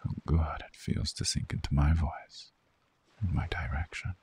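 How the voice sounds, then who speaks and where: A man playing a seductive, soothing vampire lover in an ASMR sleep roleplay, speaking in a hushed, coaxing, breathy whisper. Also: very deep voice, steady tone, measured pace, a little hiss or hum, exaggerated voice. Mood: soothing, hypnotic.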